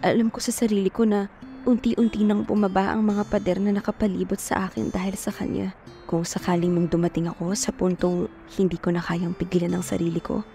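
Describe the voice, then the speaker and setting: An actress as a mellow-voiced, earnest young woman in love. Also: mid-range voice, lightly acted. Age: young adult. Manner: earnest, introspective. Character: poor, determined, lovestruck young provincial working woman. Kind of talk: scripted first-person dramatic narration. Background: music.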